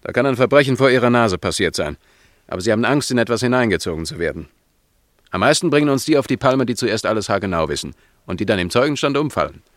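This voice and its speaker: A man's voice: medium pitch, animated, fast talker, dry recording, clean studio sound, close to an everyday voice. An actor playing a chatty, matter-of-fact desk policeman in a German radio drama.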